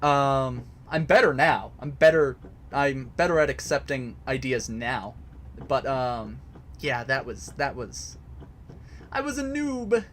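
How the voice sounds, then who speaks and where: A man's voice: medium pitch, big pitch swings, slow, fairly bright tone, a little hiss or hum. A man casually explaining a topic to a live chat from a home basement recording area, hesitant, with many fillers and restarts. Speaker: host answering chat.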